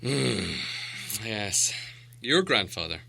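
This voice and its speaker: A man performs a grim old storybook wizard with a forceful, cutting voice. He is stern and impatient, sure of himself, and leans hard on his words. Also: lowish voice, expressive, drawn-out words, creaky, heavily acted. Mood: slow, grim. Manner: emphatic, grim, self-assured. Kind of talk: storybook character voice.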